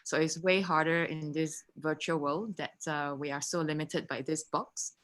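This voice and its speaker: A woman's voice: deep voice, fairly even intonation, normal speed, fairly bright tone. A woman taking part in a workshop discussion, talking casually over a video call. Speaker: workshop participant. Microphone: computer video call.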